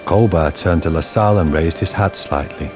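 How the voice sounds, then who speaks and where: A man playing the narrator of a crime radio drama, delivering scripted story in a measured, deadpan manner. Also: sits low, music in the background, natural voice.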